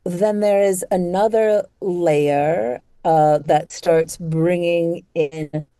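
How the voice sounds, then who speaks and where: Female interview host, lowish and very mellow, thoughtful and conversational, working her way into questions phrase by phrase. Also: steady tone, extremely slow, no echo, clean studio sound.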